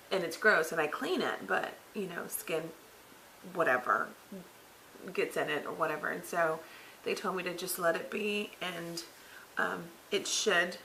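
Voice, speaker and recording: A woman in her thirties with a raspy voice that cracks at times, sounding tired after throat surgery, giving a rambling, casual update full of fillers from her living room couch. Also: medium pitch, pushed and bright, slight background noise, rough recording. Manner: rambling, filler-heavy, self-correcting. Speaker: vlogger. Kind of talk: casual health update vlog.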